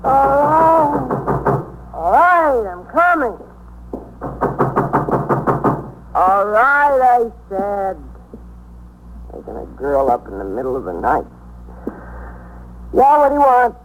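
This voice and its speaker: A woman's voice: fairly high pitch, big pitch swings, takes it slowly, pauses often, dull audio, music in the background. An actress playing a groggy, indignant young American woman with a whiny, slangy manner in 1950s radio drama style. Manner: whiny, slangy, put-upon. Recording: noisy 1950s radio recording.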